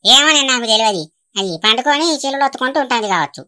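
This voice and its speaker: A stubborn old cartoon granny, grumbling and complaining in a Telangana Telugu accent. Her voice is high, bright and fast. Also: lively intonation. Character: elderly grandmother, grumbling and stubborn.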